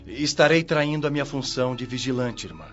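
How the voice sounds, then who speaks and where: A man's voice: medium pitch, animated, fairly quick, fairly bright tone, highs cut off, faint music behind, natural voice. A man playing a stern, righteous chief watchman of the spirit world in a radio drama, grave and moralizing.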